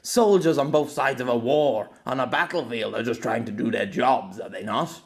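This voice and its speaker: A brash, gruff swordsman near sixty, taunting and roaring, played by a male narrator. The voice stays close to the narrator's own, with a slightly altered tone. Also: medium pitch, normal speed, natural voice. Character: brash old man nearly sixty, a swordsman.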